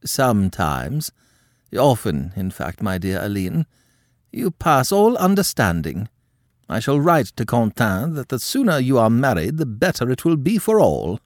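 Man doing a shrill scandalized old countess. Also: big pitch swings, unhurried, no echo, clean studio sound. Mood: indignant. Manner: shocked, scolding, high-pitched. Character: haughty prudish aristocratic older countess.

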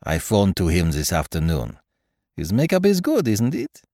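A man voicing a shrewd, self-assured detective in a mystery audiobook, brisk, precise and gently chiding. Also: low voice, huge pitch swings, dry recording, studio quality, exaggerated voice. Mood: brisk, satisfied. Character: shrewd, self-assured, fussy male detective, calmly superior. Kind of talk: dramatised audiobook reading of a mystery story.

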